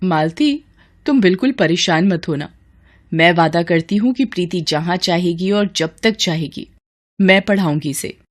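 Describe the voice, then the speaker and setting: A woman's voice: on the low side, animated, moderate pace. A woman voicing a kindly, generous female senior officer in a cartoon story, speaking in an affectionate, assured, welcoming manner. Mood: proud, assured. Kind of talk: Hindi cartoon story dialogue.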